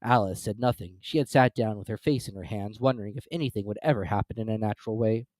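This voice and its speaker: A man's voice: fairly low pitch, fast, darkish tone, dry recording. A man narrating a children's story as a scripted dramatic reading.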